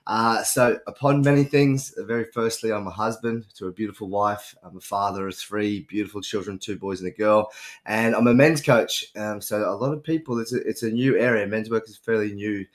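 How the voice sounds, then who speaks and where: A man from New Zealand with a fairly low voice, speaking earnestly and plainly. He introduces himself as a men's coach over a video call. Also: brisk. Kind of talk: online summit self-introduction.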